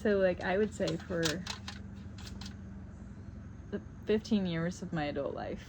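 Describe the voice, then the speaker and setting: Southern lady in her 30s rambling while she paints, kinda low voice, some hiss behind her. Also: unhurried. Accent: faint Southern US. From South Carolina, United States. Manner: rambling and reflective, many fillers. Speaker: vlogger. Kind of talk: casual chat while painting. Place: home on Maui.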